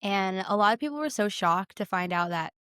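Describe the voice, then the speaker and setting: A woman's voice: medium pitch, expressive, a little fast, fairly bright tone, smooth, dry recording, studio quality. A young woman co-hosting a podcast, chatting casually and effusively, stressing and repeating her words. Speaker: podcast co-host. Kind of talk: casual chat about films.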